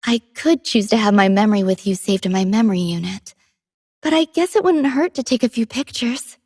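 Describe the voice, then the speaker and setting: A woman playing a gentle, poetic female android companion in a game, soft-spoken and wistful with a breathy voice. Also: mid-range voice, animated, a little fast, dry recording, clean studio sound. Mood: cheerful. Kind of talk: scripted game character voice lines.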